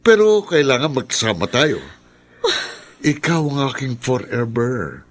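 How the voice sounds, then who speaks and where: An actor playing a possessive, obsessed captor in a Filipino radio drama, smug and insistent. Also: male voice, mid-range voice, wildly swooping pitch, measured pace. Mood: obsessive, amorous. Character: possessive, obsessed male captor, smugly controlling.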